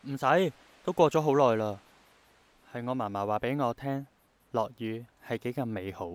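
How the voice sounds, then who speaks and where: An actor playing an idealistic, gentle young man in a radio drama, reflective and earnest, with a Hong Kong accent. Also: medium pitch, moderate pace, lightly acted. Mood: wistful. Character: idealistic, persistent young man longing for rain to return.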